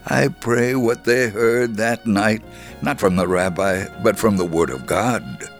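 A man reading a first-person testimony aloud on the radio in an earnest, measured storytelling manner. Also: lowish voice, faint music behind.

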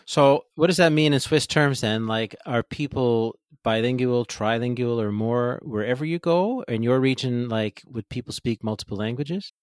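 A man hosting a podcast, asking questions in a relaxed, chatty way with frequent "you know"s. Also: mid-range voice, normal speed, few pauses, clean tone, no echo, studio quality. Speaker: podcast host. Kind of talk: casual interview.

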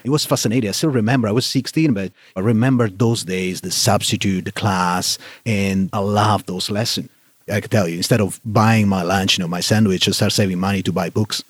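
A man from Italy with an Italian accent, a guest on a podcast telling his story in lively, slightly halting English. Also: fairly low pitch, slightly rough, no room sound. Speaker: guest, safety director. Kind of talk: casual interview chat.

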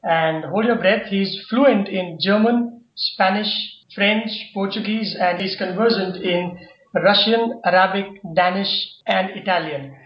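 A high-pitched man hosts a podcast, asking eager, chatty questions with some halting restarts. His voice sits in a roomy space and sounds dull and lo-fi. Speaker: podcast host. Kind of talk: interviewing.

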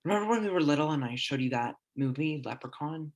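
Young man as a resigned teen brother, husky and subdued. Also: fairly high pitch, quick pace. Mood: wistful. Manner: subdued, flat, trying to sound calm. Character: scared teenage boy Rory, quietly resigned older brother. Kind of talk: student audio drama.

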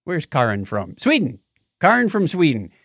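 A man hosts a casual science podcast from a dry, close-sounding studio. His pitch swings widely as he tells stories and summarizes news. He has a jokey, animated delivery. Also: moderate pace, no room sound. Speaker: co-host of a science and tech show. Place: home or podcast studio with acoustic foam.

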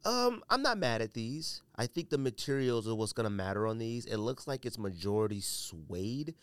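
A man's voice: medium pitch, sing-song, normal speed, smooth, no room sound. A man hosting a casual podcast with blunt, emphatic, opinionated commentary, repeating himself for emphasis while a bit under the weather. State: under the weather, coughing and sneezing. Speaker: podcast host.

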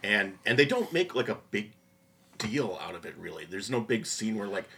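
A man's voice: on the low side, very animated, normal speed, gravelly, bright, forceful tone. A man chatting casually about a TV show as a podcast panelist.

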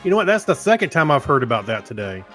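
A man's voice: mid-range voice, sing-song, fast, fairly bright tone, soft background music. A man co-hosting a podcast, chatting casually and reading items aloud. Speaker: podcast co-host.

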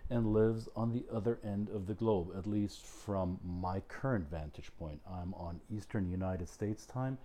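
Low, dark-voiced man hosting. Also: unhurried, few pauses. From Germany. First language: German. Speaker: podcast host. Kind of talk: podcast introduction and interview.

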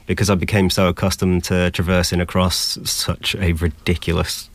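Low-voiced young British man. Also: flat delivery, normal speed, slight background noise. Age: young adult. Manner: articulate, thoughtful. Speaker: interview guest sharing his experience. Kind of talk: reflective personal account.

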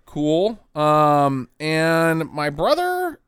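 Lively, smooth-voiced man recapping a movie. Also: a little high, no echo. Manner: profane, jokey, acts out characters in quick asides. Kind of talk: casual movie recap chat.

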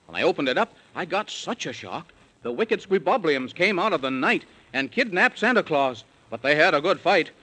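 A man's voice: a little high, sing-song, moderate pace, pushed and bright, rough recording. A man narrating a 1930s radio children's serial in rhyme, with a theatrical sing-song storytelling delivery.

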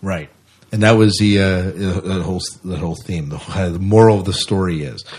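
Low, husky man co-hosting. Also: moderate pace, a little mellow. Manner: rambling, repeats his phrases. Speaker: podcast co-host. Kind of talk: casual unscripted podcast chat.

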